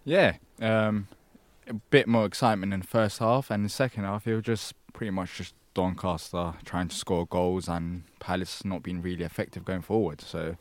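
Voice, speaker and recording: Deep-voiced man giving hesitant football talk. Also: steady tone, dry recording. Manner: hesitant, filler-heavy, measured. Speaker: studio guest pundit. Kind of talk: casual football analysis.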